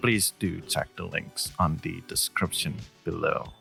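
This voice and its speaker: A man's voice: deep voice, steady tone, a little slow, vocal fry, bright, forceful tone, soft background music. A man speaking as an audio roleplay creator, giving a casual channel outro in his own voice.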